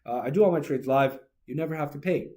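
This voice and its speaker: A man with a notably high voice hosts a livestream from an office. He talks informally, mixing a promotional pitch with replies to chat comments. His manner is hype-driven and leans on repeated catchphrases. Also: fast, a little mellow, a little hiss or hum. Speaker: livestream host, trader. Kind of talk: live-stream sales pitch and chat replies.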